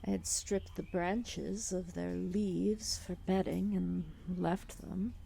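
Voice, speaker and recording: Woman as a very slow, languid serpent goddess. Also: fairly low pitch, steady tone, vocal fry, dark tone, a little hiss or hum, full character voice. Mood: approving, calm. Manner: slow, languid, formal, condescending speech. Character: giant ancient serpent deity, drowsy, regal, amused. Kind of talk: ASMR roleplay audio drama.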